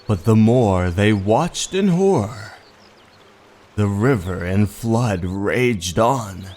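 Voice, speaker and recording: A man narrating a scripted horror story as the host, with a dramatic, drawn-out storytelling delivery. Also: on the low side, animated, a little mellow. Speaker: narrator and host of manga readings. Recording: music under the voice. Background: background music.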